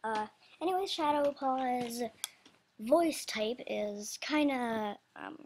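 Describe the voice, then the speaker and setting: A woman's voice: a little high, slow pace, darkish tone, slight background noise. A woman giving a casual, loosely scripted announcement about animation characters in a hesitant, rambling, apologetic way. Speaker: animator describing characters for voice auditions.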